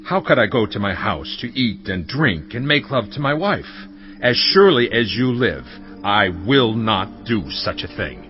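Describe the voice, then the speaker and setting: An actor playing a loyal, principled ancient soldier, earnest and emphatic, in a dramatized audio Bible. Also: male voice, on the low side, sing-song, a little slow, muffled recording. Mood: earnest resolve. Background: background music.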